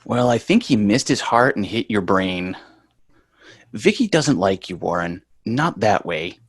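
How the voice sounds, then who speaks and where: A man's voice: fairly low pitch, animated, unhurried, breathy edge, dry recording, studio quality, heavily acted. A man playing a sarcastic, bossy schoolboy who is exasperated, in a sitcom table read. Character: exasperated, wisecracking schoolboy big brother.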